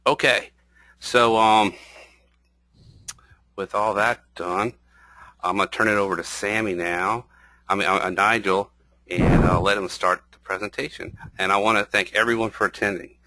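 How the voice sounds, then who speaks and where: A man's voice: fairly low pitch, fairly even intonation, normal speed, pauses often, pushed and bright, rough recording. A man hosting an online user group meeting, making announcements hesitantly with many fillers. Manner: hesitant, full of "uh" and "um". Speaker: user group organizer and host. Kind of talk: webinar introduction.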